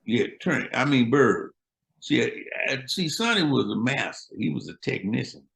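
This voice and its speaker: A man's voice: medium pitch, lively intonation, moderate pace, creaky, a bit bright. An older man, a musician guest, casually chatting and retelling old stories in a laid-back, slangy way. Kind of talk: casual chat, storytelling.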